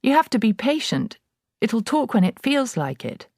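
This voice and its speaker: A woman's voice: a little high, sing-song, moderate pace, a little mellow, no room sound, pitched above the performer's own voice. Voiced by a woman, a small, stubborn boy of six talks in a raised, boyish voice with a plain, matter-of-fact manner. The sound is dry and close.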